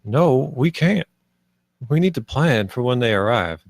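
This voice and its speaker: Man acting male space salvager, pragmatic, wary, animated pitch, dry sound, sci-fi table read. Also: a little slow, vocal fry, no room sound. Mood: worried, firm.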